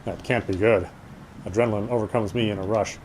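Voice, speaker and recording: A man's voice: lowish voice, fairly even intonation, fairly quick, heavy background noise. A man streaming a game and reading its narration aloud, now and then stumbling over words.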